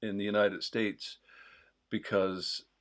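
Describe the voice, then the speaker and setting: Host, male, mild Newfoundland accent; lowish voice, slow, meandering delivery with frequent fillers. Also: level tone, fairly bright tone. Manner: digressive, with many pauses and fillers. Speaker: host of a livestream. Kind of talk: casual rambling chat.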